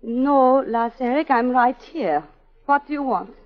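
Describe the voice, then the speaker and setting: Guarded governess with a past: high, clipped, dramatic vintage radio delivery, dull recording. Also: female voice, measured pace. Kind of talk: 1940s radio drama acting with narration. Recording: dull 1940s radio broadcast, music behind.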